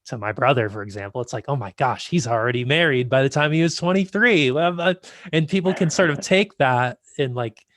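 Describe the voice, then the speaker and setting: A man's voice: mid-range voice, fairly quick, few pauses, a bit bright, dry recording, clean studio sound. A man of twenty-five hosting a podcast, chatting in an easygoing, agreeable way with loose fillers. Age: 20s. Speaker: podcast host. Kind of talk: casual interview chat.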